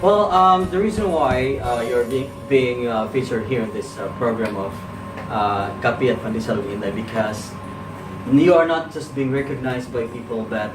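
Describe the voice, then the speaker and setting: Guy hosting interview, kinda formal and halting, roomy sound, music behind. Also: medium pitch. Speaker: guest host. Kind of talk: hosting a talk show interview. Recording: echoey, distant mic, music clearly behind.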